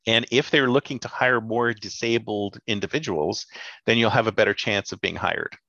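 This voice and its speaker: A man's voice: on the low side, normal speed, pushed and bright, dry recording. A man in casual chat who talks sarcastically and laughs often. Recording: dull lo-fi sound.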